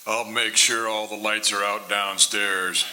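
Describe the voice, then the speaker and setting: A man's voice: lowish voice, little pitch movement, measured pace, pushed and bright, low-quality recording. A man playing a cold, businesslike hired killer in an old-time radio drama, talking in terse, matter-of-fact reassurances.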